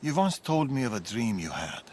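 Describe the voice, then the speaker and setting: An actor playing a thoughtful, friendly companion in a video game, speaking gently and expressively. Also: male voice, medium pitch, very animated, moderate pace, a little hiss or hum, lightly acted. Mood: gentle, reflective. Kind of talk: dramatic video game acting.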